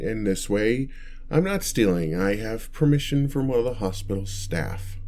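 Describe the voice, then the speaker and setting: A man's voice: mid-range voice, a little mellow, close to an everyday voice. A man voicing an awkward, kindly, inept vampire in an ASMR roleplay, stammering and hesitating as he talks to the listener. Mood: flustered, defensive. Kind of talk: ASMR roleplay, one-sided dialogue to listener.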